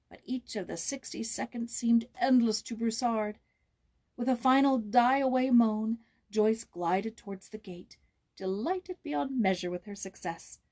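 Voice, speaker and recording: Female audiobook reader, slightly husky tone, expressive and theatrical storytelling delivery. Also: fairly high pitch. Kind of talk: dramatic scripted storybook narration.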